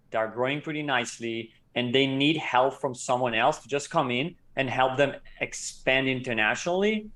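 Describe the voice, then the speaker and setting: Man in his thirties, from israel, interview guest, measured, explanatory, mid-pitched voice. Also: fairly bright tone. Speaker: interview guest, company co-founder. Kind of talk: casual interview talk.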